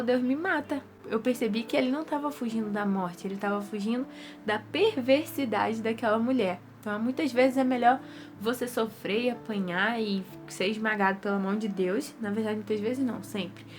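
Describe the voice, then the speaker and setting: A young woman with a Brazilian Portuguese accent gives an earnest, casual devotional talk to her viewers, her nose stuffy from rhinitis. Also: on the high side. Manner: earnest, repetitive, conversational.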